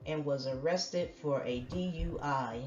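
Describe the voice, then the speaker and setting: A woman reporting celebrity news in a steady, read-out narration. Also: deep voice, measured pace, far from the mic. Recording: roomy, dull sound with faint music.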